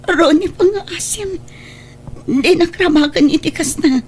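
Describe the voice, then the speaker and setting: A woman playing a tender, pleading young woman wronged by her lover, in slow, emotional radio drama style. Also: extremely high pitch, darkish tone. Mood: puzzled, shocked.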